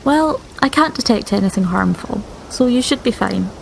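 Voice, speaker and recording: A woman's voice: fairly high pitch, expressive, moderate pace, vocal fry, slight background noise, rough recording. A woman playing a tabletop roleplaying game casually, speaking in brief, understated turns.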